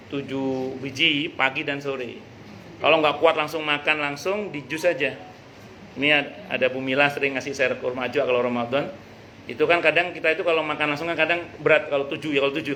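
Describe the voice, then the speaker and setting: Bright-voiced man teaching religion. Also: medium pitch. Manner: conversational, jokey, halting with repeated words. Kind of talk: informal religious study talk with anecdotes and read-out passages. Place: a private home hosting a study gathering. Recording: noisy live recording.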